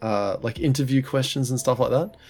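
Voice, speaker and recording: A man with a head cold talks loosely on a podcast, his nose blocked and throat sore. He stumbles through restarts and swears casually, with a mid-pitched voice and faint music underneath. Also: fairly quick, darkish tone. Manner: rambling, laughing, swearing, many restarts. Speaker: podcast co-host. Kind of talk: casual chat.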